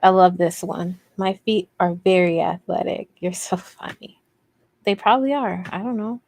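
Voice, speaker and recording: A woman with a soft voice, hosting a casual craft livestream in a rambling, playful, self-deprecating way as she answers viewer comments. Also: lowish voice, steady tone, moderate pace, no room sound. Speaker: host of a crochet livestream.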